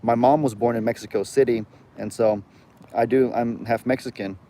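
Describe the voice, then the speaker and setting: A man's voice: medium pitch, fairly even intonation, moderate pace, dark tone. A young man with a light Southern US accent, hosting a casual live chat and rambling as he reads viewer comments aloud. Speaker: livestream host.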